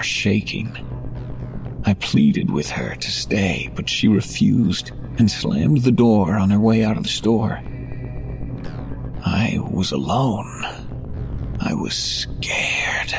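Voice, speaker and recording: Haunted shop worker voiced by an actor, first-person horror: low gravelly voice, widely swinging intensity, music underneath. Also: male voice, expressive, heavily acted. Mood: shaken. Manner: intense, halting, breaking into anguished shouts. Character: frightened, guilt-ridden male shop worker telling his story.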